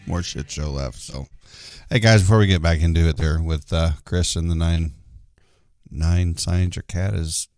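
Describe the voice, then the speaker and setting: A hoarse man co-hosting a live show, chatting casually and laughing often. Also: sits low, steady tone, measured pace, darkish tone, dry recording, studio quality, soft background music. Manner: laughs a lot. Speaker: co-host.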